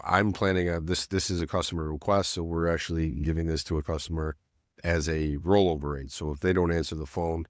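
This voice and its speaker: A middle-aged man with a slightly nasal voice from a cold, explaining a software setup in a loose, rambling way full of "you know" and restarts. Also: sits low, dry recording, clean studio sound. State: getting over a cold. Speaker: self-storage business owner teaching automation. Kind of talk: screen-share tutorial narration.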